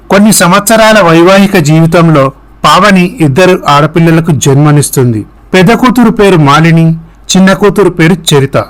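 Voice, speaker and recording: A man's voice: on the high side, fairly bright tone, poor audio. A man narrating a scripted story as a storyteller.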